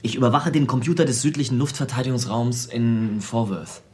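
An actor playing an eccentric, self-absorbed programmer in a radio play, explaining things at length with small hesitations. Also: male voice, mid-range voice, lightly acted. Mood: casual, content. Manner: explanatory, lecturing, halting in places. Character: eccentric, self-absorbed American computer programmer, brilliant tinkerer. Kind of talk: naturalistic radio drama dialogue.

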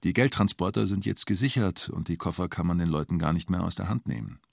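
Ein Schauspieler spielt in einem Hörspiel einen nüchternen, sachlich formulierenden Erzähler und Vernehmer. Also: male voice, sits low, quick pace, gravelly, a little mellow, no room sound, studio quality. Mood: sober, reflective. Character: sober male narrator and formal police interrogator. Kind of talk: scripted radio drama narration.

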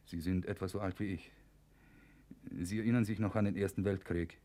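An actor playing a bitter, resigned middle-aged professor in prison in a German radio play, measured and halting, with dry sarcasm. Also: male voice, low voice, steady tone, dark tone, lightly acted. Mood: bitter, sardonic.

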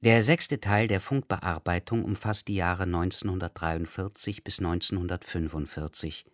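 Male radio narrator, low register, factual and sober, dry studio sound. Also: no room sound. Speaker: announcer introducing a radio play. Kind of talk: scripted narration.

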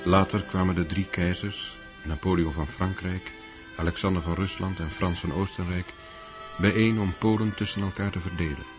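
An actor as the narrator of a radio drama, reading in a measured, formal way. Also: male voice, low voice, fairly even intonation, music in the background, lightly acted. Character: measured storyteller narrating history.

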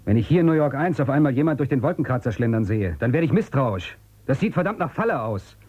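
An actor playing a brusque, suspicious outcast in a radio drama, with a commanding, curt way of questioning. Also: male voice, medium pitch, expressive, fast, darkish tone, breathy edge, muffled recording. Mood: suspicious, interrogating. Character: brusque, suspicious male outcast hiding in abandoned future city. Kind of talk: German radio drama acting.

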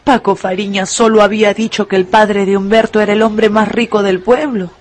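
A woman narrating a dramatised radio story in an expressive, deliberate, emphatic way. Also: medium pitch.

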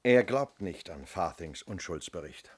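An actor playing a methodical, fair-minded police inspector in a German radio play, measured, matter-of-fact and probing. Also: male voice, deep voice, expressive, slightly rough, full character voice.

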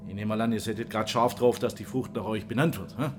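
An actor playing a jovial, quirky fruit researcher, chatty and teasing, in a radio drama. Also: male voice, on the low side, steady tone, brisk, background noise, lightly acted. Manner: chatty, folksy, teasing, tag questions. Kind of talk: German radio drama acting.

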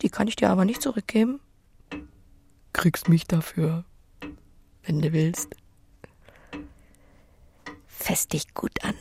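A woman's voice: on the low side, slow pace, gravelly, pauses often, mellow. The plain-spoken, practical farm woman in a radio play, musing bluntly and tenderly in her lonely kitchen, slight noise behind. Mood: curious, tender. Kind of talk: audio drama acting with inner monologue.

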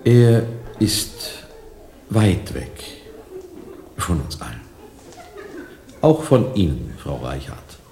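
An actor playing an evasive, authoritative male doctor in a radio play, speaking in a measured, formal way. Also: rumbling low, big pitch swings, warm tone, reverberant, slight background noise, full character voice. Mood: guarded, formal.

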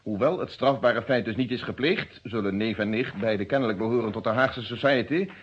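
A man acts out a male police inspector in an old detective radio play. He is methodical and unyielding, wording things formally and precisely in a husky voice. The recording is dull and lo-fi. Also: medium pitch, close to an everyday voice. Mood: measured, explanatory. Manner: formal, precise, deliberate phrasing. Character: methodical, unyielding police inspector questioning his own friend. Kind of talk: Dutch detective radio drama acting.

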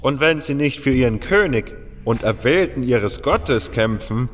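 A man puts on a blunt, worried mine-guard leader, gruff and exasperated, in a homemade audio drama. The voice sits well above his own, with a long hall reverb and faint music underneath. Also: medium pitch, expressive, unhurried, pitched above the performer's own voice, close to an everyday voice. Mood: scornful.

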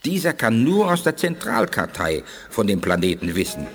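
A man's voice: medium pitch, wildly swooping pitch, normal speed, long reverb tail, soft background music. An actor playing a thoughtful, grave alien male scientist in a German radio play.

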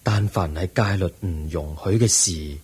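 An actor playing the aged Buddha in a radio drama, a serene and patient teacher speaking solemn Hong Kong Cantonese in a husky voice. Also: male voice, low-pitched, a little mellow, heavily acted. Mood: firm, instructive. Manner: solemn, measured teaching delivery.

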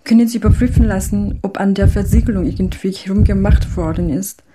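A woman's voice: medium pitch, a little fast, background noise, close to an everyday voice. An actress playing an anxious, conscience-stricken woman confiding a letter in a worried, earnest radio drama monologue. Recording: voice over loud music. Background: loud music.

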